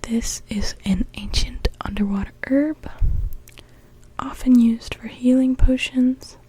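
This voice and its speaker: A young woman playing a kindly mermaid witch in a whispered ASMR roleplay, gently attending to a customer as she brews potions. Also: on the high side, fairly even intonation, slow, breathy edge, full character voice. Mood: attentive. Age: young adult.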